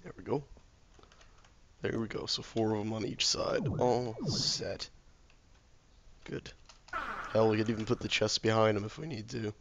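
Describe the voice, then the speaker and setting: A man with a sore throat and a slightly hoarse voice, casually commentating his game while he builds, mumbling and thinking aloud to himself. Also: lowish voice, steady tone, unhurried, long pauses, fairly bright tone, slight background noise. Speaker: let's play gamer.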